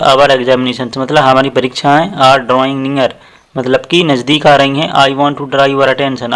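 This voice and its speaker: A man's voice: medium pitch, fairly bright tone. A man with an Indian accent teaching a lesson step by step, explaining and repeating phrases as he goes. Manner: explanatory, repeats phrases, slows down for quoted sentences. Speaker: tutorial teacher.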